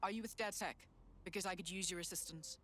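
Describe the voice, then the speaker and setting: An actress playing an earnest resistance sympathiser in a video game, sincere and conversational. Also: female voice, on the low side, steady tone, quick pace, fairly bright tone. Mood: worried. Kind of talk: video game cutscene acting.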